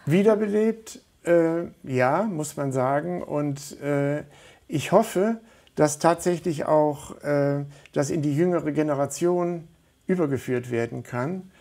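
A man's voice: on the high side, takes it slowly, dark tone. A middle-aged man speaking as a guest in a studio interview, earnest and hesitant, with many fillers. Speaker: studio guest, volunteer organizer.

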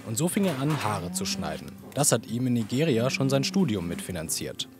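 A man narrating a TV feature with plenty of movement in his voice, telling the story in a lively way. Music plays clearly under his voice-over. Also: mid-range voice, a little fast. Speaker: reporter. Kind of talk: scripted TV report narration. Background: music bed.

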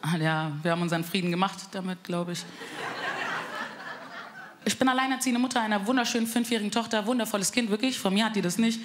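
A young woman from Bavaria doing a cheeky, deadpan stand-up set on a club stage. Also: mid-range voice, fast. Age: young adult. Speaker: stand-up comedian. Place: live comedy club stage. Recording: live stage mic, loud crowd and room noise.

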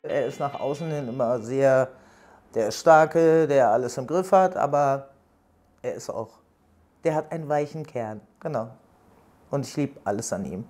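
A woman's voice: a bass voice, lively intonation, a little slow, darkish tone, soft background music. A woman in her early fifties talking candidly about her relationship in an interview. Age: 50s. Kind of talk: personal interview.

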